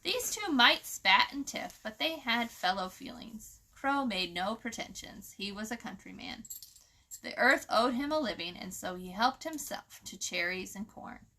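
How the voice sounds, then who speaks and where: A woman storyteller reading a children's book aloud in an expressive narrating style. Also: fairly high pitch, a little slow.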